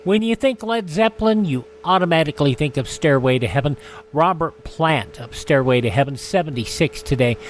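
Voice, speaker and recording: A man's voice: a little high, lively intonation, brisk, faint music behind. A man hosting a radio show, announcing in the style of a presenter reading a roundup.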